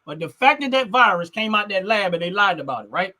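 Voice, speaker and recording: A man's voice: high voice, big pitch swings, fast talker, sharp tone. A middle-aged man hosting a livestream, talking casually and with strong opinions, emphatic and repeating phrases to drive them home. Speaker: livestream host. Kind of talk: casual opinionated livestream talk, responding to chat.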